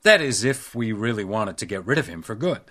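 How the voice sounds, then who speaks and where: An actor in a radio drama playing a shrewd, composed hotel house detective, speaking and narrating in a measured, wry way. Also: male voice, fairly low pitch, very animated, a bit bright. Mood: calmly probing.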